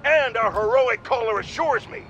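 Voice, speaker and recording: Blustering, high-pitched radio ranter. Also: male voice, normal speed, a bit bright, muffled recording. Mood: triumphant, accusing. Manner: bombastic, sarcastic, exaggerated indignation. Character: blustering, irascible, self-important male radio pundit. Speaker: radio talk show host. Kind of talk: opinionated radio rant. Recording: clear background city noise from gameplay.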